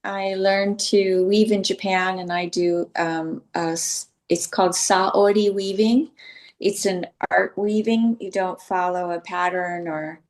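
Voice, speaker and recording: A woman's voice: mid-range voice, fairly even intonation, slow pace. A woman introducing herself as a weaver, explaining her craft plainly. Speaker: weaver at a meetup. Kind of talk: casual self-introduction.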